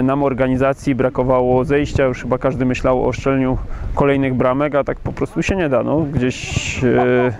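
A man's voice: on the high side, a little mellow. Guy in his 30s, football coach talking after a match, some outdoor noise behind him. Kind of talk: post-match interview. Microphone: lapel mic with windscreen. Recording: clear outdoor background noise.

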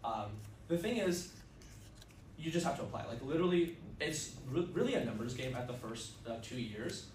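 A man's voice: mid-range voice, animated, normal speed. A young man whose first language is Russian gives a casual, upbeat student club presentation, full of fillers, recorded from across a classroom. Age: young adult. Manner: upbeat, chatty, many fillers. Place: classroom, indoors, mid-sized. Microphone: far camera. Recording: roomy, distant, faint ambient noise.

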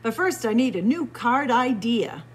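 A woman's voice: on the high side, sing-song, unhurried, a little hiss or hum. A woman voicing an eager, easily dismayed male turkey in a children's storybook, earnest and exclaiming. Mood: eager scheming. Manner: earnest, exclaiming, gobbling when upset. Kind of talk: children's storybook character reading.

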